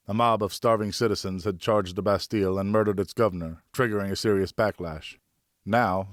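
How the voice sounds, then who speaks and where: A man narrating a historical novel in the first person, with expressive, deliberate storytelling. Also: on the low side, dry recording, clean studio sound. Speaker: audiobook narrator, professional voice actor.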